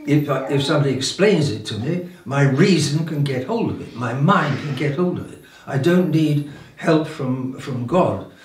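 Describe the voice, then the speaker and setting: Elderly male cleric, mid-pitched, halting sermon delivery with repeated phrases, roomy sound from a distant mic. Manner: halting, repeats and restarts phrases, emphatic pauses. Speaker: bishop preaching. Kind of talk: informal sermon. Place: indoor room with religious paintings. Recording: roomy echo, distant microphone.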